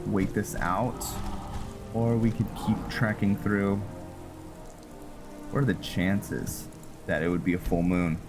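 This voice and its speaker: A man casually talking through his gameplay, musing aloud with frequent pauses and ums. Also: low voice. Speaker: gamer playing a roleplay let's play. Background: game music and rain sounds.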